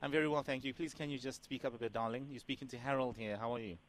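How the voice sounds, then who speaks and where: A man's voice: medium pitch, fairly even intonation, racing, slight background noise, lightly acted. A man playing a sassy, overfamiliar prank caller who keeps calling the listener "girl" and "doll". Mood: sassy teasing. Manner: teasing, calls her "girl" and "doll". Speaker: radio host doing a prank call.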